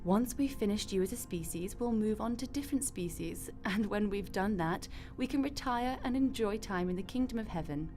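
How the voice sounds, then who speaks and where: A woman's voice: medium pitch, animated, moderate pace, hardly any pauses, darkish tone, faint music behind, natural voice. A woman acting in a radio drama as a breezy, glib God who runs the afterlife with chatty, flippant matter-of-factness. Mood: matter-of-fact explaining.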